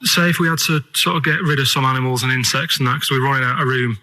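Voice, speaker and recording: A man's voice: mid-range voice, racing, background noise. A man with a Northern English accent rambling comically on the radio in an earnest, naive, persistent way. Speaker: radio show regular making a phone call.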